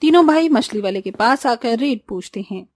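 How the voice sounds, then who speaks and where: A woman's voice: a little high, expressive, moderate pace. A woman reading scripted narration for a cartoon story.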